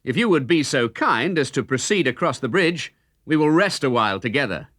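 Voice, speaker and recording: A man voicing a spirited, cheeky young outlaw hero in a children's storybook, speaking in brisk, formal, old-fashioned banter. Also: medium pitch, lively intonation, fairly bright tone, no echo, studio quality, voice pushed up. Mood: friendly, courteous.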